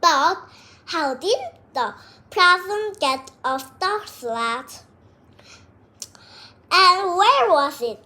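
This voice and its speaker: A child reading a detective story aloud word by word, with halting breaks between phrases. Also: very high-pitched, expressive, pushed and bright.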